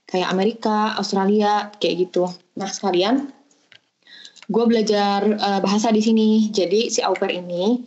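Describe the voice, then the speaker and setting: A young woman in her twenties, a podcast guest, talks casually over a remote call. She explains things step by step, filling gaps with small hesitations, at a middle pitch. The sound is muffled and lo-fi. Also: steady tone, unhurried, a little mellow. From Bandung, Indonesia. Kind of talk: casual chat.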